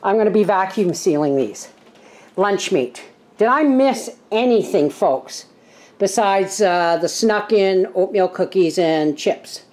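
Slow-talking, folksy older woman. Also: medium pitch, expressive, darkish tone. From Canada. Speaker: homesteading vlogger and host. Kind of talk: casual vlog narration. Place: home kitchen, indoors.

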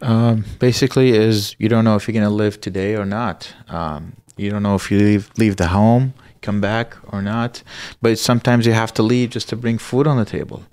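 A man from Iraq, likely in his thirties, speaks earnestly and haltingly, often pausing and restarting. His voice sits on the low side. The recording is dry and close, from a boom-arm mic in a small studio room. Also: steady tone, darkish tone, clear, resonant tone, dry recording. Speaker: guest. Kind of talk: personal story in a podcast interview.

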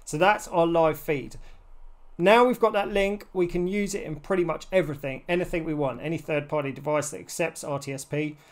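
A man's voice: a little high, a little fast. A man in his forties presenting a casual, step-by-step tech tutorial.

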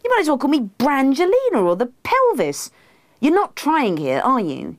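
Lady doing a pestering needy wife from a book, high and swoopy, pleading, indignant. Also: huge pitch swings, moderate pace, a little mellow, no echo, clean studio sound, exaggerated voice.

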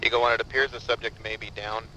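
A man's voice: medium pitch, level tone, quick pace, pushed and bright, slight background noise, poor audio. A man giving terse, procedural police radio reports as an air unit in game role-play.

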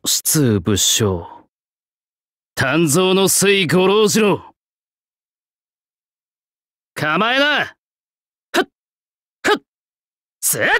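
Gruff swordsmith spirit, swooping pitch. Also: male voice, a bit bright, no room sound, studio quality, heavily acted. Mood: fierce, battle-ready. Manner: rough, old-fashioned, terse craftsman's way of talking. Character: gruff old swordsmith spirit in a young body, brusque and proud. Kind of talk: anime game battle voice lines.

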